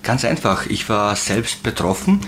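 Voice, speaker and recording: Forties guy from slovakia, lowish voice, explains calmly, a few uhs. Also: measured pace, slight background noise. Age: 40s. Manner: plain, steady explaining with some hesitations. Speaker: guest, agency owner.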